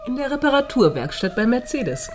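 A woman's voice: on the high side, big pitch swings, brisk, faint music behind. An actress playing a practical, irreverent woman friend in a German radio crime drama, dry and teasing. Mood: wry, teasing.

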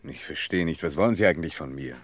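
Deep-voiced, impatient young student. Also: male voice, fast. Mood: baffled, wary. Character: young architecture student leaving his fiancée, dismissive, uneasy. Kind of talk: German radio play acting.

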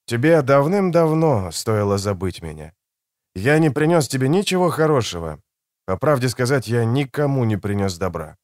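A man's voice: mid-range voice, big pitch swings, normal speed, darkish tone, no room sound, studio quality, close to an everyday voice. A man voicing a weary, guilt-ridden older man in an audiobook, reserved, terse and grave. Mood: regretful. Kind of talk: audiobook character dialogue.

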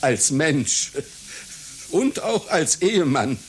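Husky, melancholy old clown. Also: male voice, a little high, big pitch swings, full character voice. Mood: grave, brooding. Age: older. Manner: theatrical, brooding, halting, insistent. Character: anxious, melancholy, self-pitying old circus clown, aged seventy-one. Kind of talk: satirical radio play acting.

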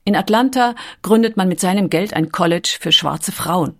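A woman reading scripted documentary narration with a measured, emphatic storytelling delivery. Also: lowish voice, a bit bright.

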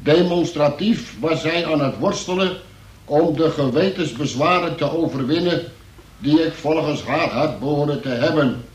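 A man's voice: on the high side, normal speed, echoey room, recorded from a distance, lightly acted, low-quality recording. An actor playing a wry arrested man who narrates his statement in mock-formal style for a Dutch radio play. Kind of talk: scripted first-person radio play narration.

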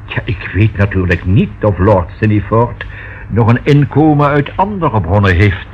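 A man's voice: lowish voice, lively intonation, lightly acted. A man acting a discreet, proper notary in a Dutch radio play, speaking formally and choosing precise words. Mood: guarded, polite. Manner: formal, measured, precisely worded. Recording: dull, faintly noisy old radio recording.

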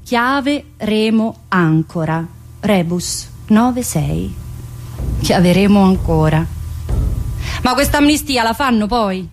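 A woman's voice: medium pitch, expressive, slow pace, faint music behind. A woman, an actress, reciting a theatrical monologue, in short clipped fragments. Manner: clipped, listing sensory fragments.

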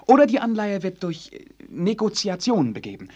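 An actor playing an earnest, sheltered young prince in a German radio drama, courtly and eager, with hesitant phrasing. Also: male voice, high voice, very animated, moderate pace, darkish tone, lo-fi sound. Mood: eager, studious.

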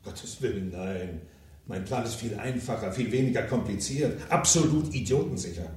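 A man's voice: on the low side, moderate pace, darkish tone, far from the mic, close to an everyday voice. Actor as swaggering, scheming businessman in echoey room. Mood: scheming, determined. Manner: blunt, swaggering, theatrically emphatic. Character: cynical, ambitious self-made businessman, scheming and coarse. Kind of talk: German crime radio drama acting.

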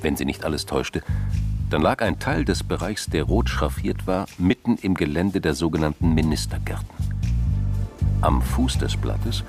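A man's voice: extremely low pitch, lively intonation, normal speed, gravelly, over music, exaggerated voice. A man narrating a scripted crime drama.

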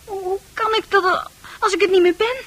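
An anguished, very high-voiced young patient. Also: female voice, steady tone, fairly bright tone. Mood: anguished, pleading. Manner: halting, stammering, repeating herself. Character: anguished, bewildered young female psychiatric patient. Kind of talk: radio drama acting.

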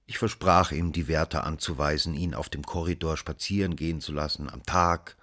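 A man's voice: a bass voice, steady tone, normal speed, fairly bright tone. An actor playing an investigating magistrate who questions and narrates in a radio play, pressing, condescending and literary in his wording. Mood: sober narration.

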